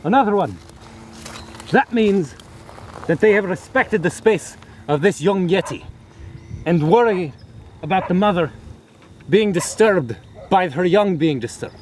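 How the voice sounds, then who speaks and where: Mock nature-show presenter man acting: theatrical, indignant, high-voiced, very lively pitch, noisy outdoor recording. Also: unhurried, pauses often. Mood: hushed, instructive. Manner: theatrical, exclamatory, squabbling. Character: excitable, indignant male nature-show survival host with fake accent. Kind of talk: mock nature documentary skit.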